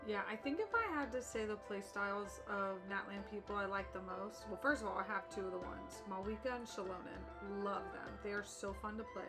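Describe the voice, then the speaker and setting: A woman's voice: medium pitch, brisk, hardly any pauses. Young woman chatting casually over game music. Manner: chatty, opinionated, slangy. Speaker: game streamer. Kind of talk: casual live gaming commentary.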